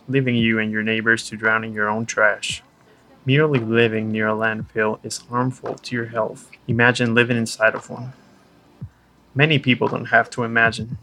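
A man's voice: lowish voice, sharp tone, slight background noise, rough recording. A man reading a scripted essay aloud as a podcast narrator. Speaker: podcast reader.

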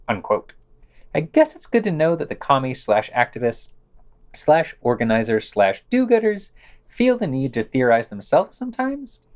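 A man co-hosts a scripted news podcast in a mid-range voice. His intonation swings widely, giving readings a theatrical emphasis and his commentary a sarcastic edge. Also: medium pitch.

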